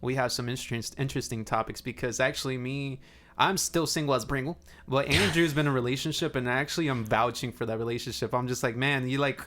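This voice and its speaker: A man chats as a podcast co-host in a medium-pitched voice. His talk is laid-back and informal, sprinkled with slang. It sounds like easy conversation between co-hosts. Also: steady tone, fast talker, fairly bright tone. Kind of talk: casual chat.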